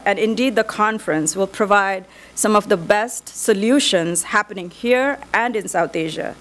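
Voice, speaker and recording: A woman giving opening remarks and introducing speakers as moderator, measured with some restarts. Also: mid-range voice, rough recording. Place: conference hall.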